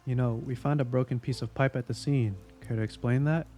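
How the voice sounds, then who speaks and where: Audio drama: veteran male detective, played by a man, warm mellow tone, dry wit, casual and world-weary, light music underneath. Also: medium pitch, fairly even intonation, a little fast, close to an everyday voice. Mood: sardonic, needling. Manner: wry, needling, casual. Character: jaded, sardonic veteran male police investigator.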